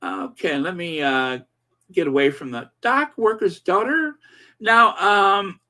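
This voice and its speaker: A man in his forties hosting a casual commentary channel, rambling with many pauses and fillers while reading viewer comments. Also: mid-range voice, sharp tone. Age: 40s. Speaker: channel host.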